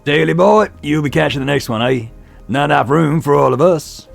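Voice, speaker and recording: Man doing a brash gravelly Texan showman. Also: a little high, moderate pace, soft background music. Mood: flirty. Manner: loud, flirtatious salesman patter. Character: brash boastful Texan gentleman entrepreneur.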